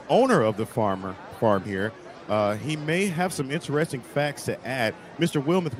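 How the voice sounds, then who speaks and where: A man's voice: mid-range voice, lightly acted. An actor playing a flustered male radio reporter on the scene, stammering and repeating words in an old-time radio drama. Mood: flustered, pressing.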